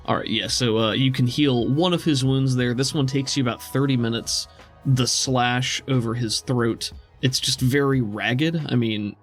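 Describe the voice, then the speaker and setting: Male game master, vividly descriptive. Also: medium pitch. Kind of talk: descriptive tabletop game narration. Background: faint music.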